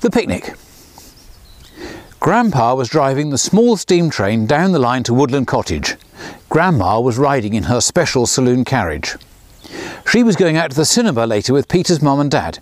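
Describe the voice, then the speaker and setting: A man's voice: moderate pace, no echo. A man in his sixties, a children's author, reading his own storybook aloud outdoors beside a small railway, lifting his voice for the characters. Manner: lively storyteller, lifts pitch for characters' lines.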